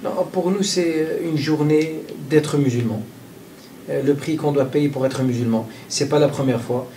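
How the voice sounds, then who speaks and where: Man from Belgium, interview archive clip, halting and repetitive, dark tone, slightly off-mic. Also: on the high side, recorded from a distance, a little hiss or hum. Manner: halting, many fillers and repeated words. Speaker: interviewee, group spokesman.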